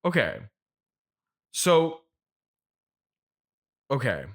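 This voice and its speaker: A man's voice: mid-range voice, wildly swooping pitch, very slow. A man hosting a casual comedy interview in a hesitant, puzzled, understated way. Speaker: interview host.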